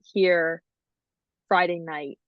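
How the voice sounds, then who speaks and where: A woman's voice: fairly low pitch, steady tone, very slow. A young adult woman with an American accent chats casually with a friend as a podcast co-host. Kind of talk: casual chat between friends. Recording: dry but dull, lo-fi call audio.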